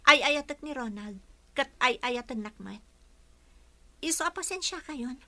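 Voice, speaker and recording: A woman's voice: high-pitched, lively intonation, a little slow, bright, forceful tone, heavily acted. An actress playing a defiant young daughter in love who challenges her mother in an Ilocano audio drama.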